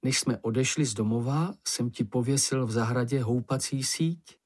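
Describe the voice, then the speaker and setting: An adult voicing an eager, husky young troll boy. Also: medium pitch, a little mellow, lightly acted. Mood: earnest. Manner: artless, enthusiastic. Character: gentle, eager, naive young male troll child.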